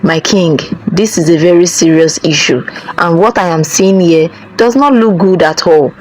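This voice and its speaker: A woman's voice: on the low side, moderate pace, a little mellow, soft background music, close to an everyday voice, low-quality recording. A woman voicing a grave male chief priest who solemnly warns of coming danger. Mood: ominous. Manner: ominous, solemn warnings.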